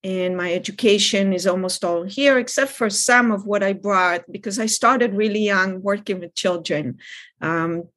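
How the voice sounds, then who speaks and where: A woman in her fifties from Israel, calmly answering interview questions as a child development expert. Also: mid-range voice, moderate pace.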